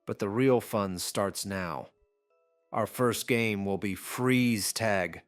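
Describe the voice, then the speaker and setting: Man voicing a deep, mocking, sadistic god. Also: slow pace, dry recording, faint music behind. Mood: mocking glee. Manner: mockingly amused, taunting, theatrical. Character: gleeful, mocking godlike game master, sadistic and menacing.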